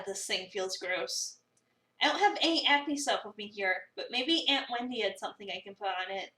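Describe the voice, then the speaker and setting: A woman's voice: on the high side, bright, forceful tone, pitched below the performer's own voice. A woman voicing an exasperated, sarcastic young cafe worker, narrating a visual novel with dramatic incredulity. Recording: roomy, slightly distant mic.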